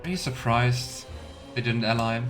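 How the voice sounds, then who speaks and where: A man's voice: medium pitch, moderate pace, music in the background. A man giving casual, musing let's play commentary with wry teasing asides. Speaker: game streamer.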